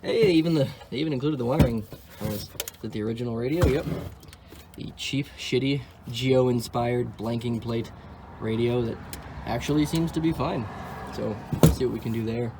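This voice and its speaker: A young man rambles through his car project in a loose vlog style, breaking off for sarcastic jokes. His voice sits at an ordinary middle pitch. Steady ambient noise hums under the recording. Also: moderate pace. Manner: wisecracking, sarcastic asides; offhand, thinks aloud while working on a car. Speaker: car vlogger. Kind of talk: casual vlog commentary.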